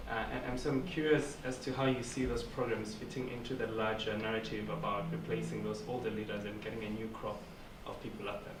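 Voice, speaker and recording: A man's voice: on the low side, little pitch movement, fairly quick, hardly any pauses. A male student asks a question from the audience, hesitant and repeating words. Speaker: MBA student asking a question. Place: seminar room. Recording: distant, echoey, noisy.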